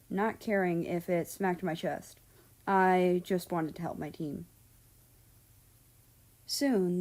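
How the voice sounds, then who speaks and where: A woman narrating fiction as an amateur reader, expressive and unhurried, acting out dialogue as she goes. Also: fairly low pitch.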